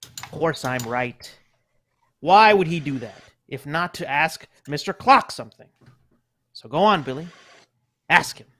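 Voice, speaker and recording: A man's voice: fairly high pitch, lively intonation, a little slow, pauses often, sharp tone. A man voicing a fussy, motherly mouse mother in a live radio play reading, bossy and coaxing. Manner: bossy and coaxing, old-fashioned.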